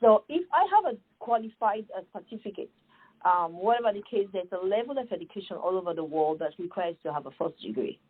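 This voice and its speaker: A woman's voice: medium pitch, expressive, brisk, breathy edge, phone-quality sound. A middle-aged woman from Sierra Leone with a Sierra Leonean accent, answering interview questions earnestly and emphatically, with many fillers and rhetorical questions. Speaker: guest, nonprofit founder.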